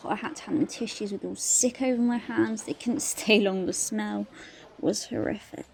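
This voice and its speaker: Actress playing a blunt, gravelly-edged policewoman. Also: medium pitch, steady tone, moderate pace, a little hiss or hum, natural voice. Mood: glum, appalled. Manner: blunt, informal. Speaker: actor in an audio play. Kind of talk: naturalistic audio drama acting.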